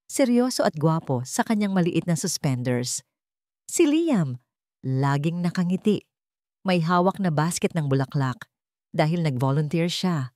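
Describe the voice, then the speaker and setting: Woman narrating a romance story, dramatic, pitch moves around a lot, dry close sound. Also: dark tone, no room sound.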